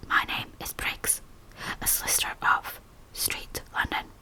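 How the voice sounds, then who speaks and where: A woman voicing a calm, steady male lawyer who stops a wedding, whispering each word distinctly and formally. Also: a little slow, close to an everyday voice. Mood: firm.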